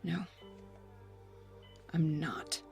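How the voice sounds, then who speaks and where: A woman's voice: sits low, fairly even intonation, drawn-out words, vocal fry, breathy edge, faint music behind, full character voice. An actress playing a teenage girl model who goes from brash teasing to broken pleading in an audio drama. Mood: despairing. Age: teen figure. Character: teenage girl model, cocky turned shattered.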